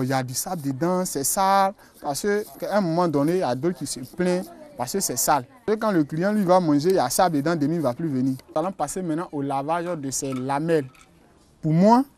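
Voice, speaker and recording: A man's voice: a little high, darkish tone. A man, a wholesaler explaining his work in an on-site interview, with restarts and hesitations. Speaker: ginger candy wholesaler.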